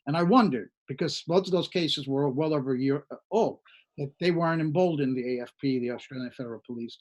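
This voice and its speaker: A man's voice: mid-range voice, darkish tone, rough recording. A man hosting a news discussion, giving political commentary in a conversational way. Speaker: panel host of an online news show.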